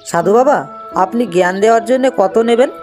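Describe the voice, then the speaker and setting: A woman's voice: fairly high pitch, fairly quick, darkish tone, faint music behind, lightly acted. A woman voicing a naive, humble young simpleton in a cartoon, pleading and deferential. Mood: humble, curious. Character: naive, humble foolish young man of twenty, beseeching. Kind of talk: cartoon moral-tale character acting.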